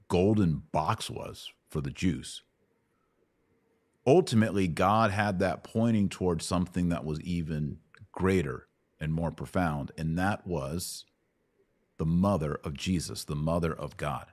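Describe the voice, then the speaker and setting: A man's voice: sits low, unhurried, a little mellow. A middle-aged man hosting a live Bible Q&A, teaching conversationally and posing questions to check understanding. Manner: didactic, asks checking questions. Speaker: podcast host teaching the Bible.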